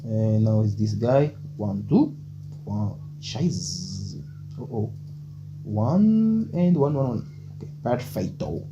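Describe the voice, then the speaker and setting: A man's voice: on the low side, very animated, takes it slowly, music in the background. A man whose first language is Portuguese gives a casual coding lesson in English, chatty and loose, often tagging "okay?" and thinking aloud. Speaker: programming tutor. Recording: dull lo-fi mic with faint hum.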